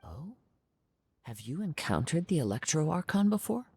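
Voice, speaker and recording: Young wanderer swordsman, breathy and dry-sounding, soft-spoken, poetic, formal, serene, video game. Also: a little high, sing-song, moderate pace, dry recording, heavily acted. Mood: pensive. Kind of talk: anime-style video game voice acting.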